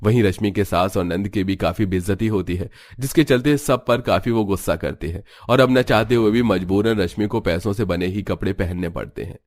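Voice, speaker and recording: A man narrating a scripted animated story. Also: lowish voice, a little fast, hardly any pauses.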